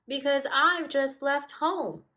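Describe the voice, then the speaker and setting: A woman's voice: high-pitched, fairly even intonation, slow, sharp tone, voice pushed up, full character voice. A woman voicing a grumpy, stubborn young female animal in a children's storybook, with emphatic delivery. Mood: glum.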